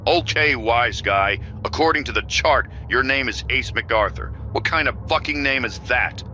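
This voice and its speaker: A man's voice: medium pitch, moderate pace, sharp tone, dull audio, slight background noise. A man playing a blunt, bossy male sergeant who mocks the new recruit. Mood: mocking. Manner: blunt, mocking.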